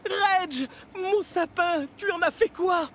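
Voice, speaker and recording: An actor voicing an eager, kind-hearted young male steam locomotive in a French cartoon dub, with an earnest, excitable manner. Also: very high-pitched, takes it slowly, slight background noise, exaggerated voice. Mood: dismayed.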